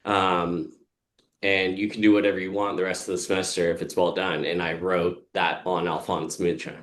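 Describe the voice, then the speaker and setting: A man from California introducing himself as a painter, telling a lively story and acting out quotes. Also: low voice, moderate pace. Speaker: painter at a meetup. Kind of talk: casual anecdote.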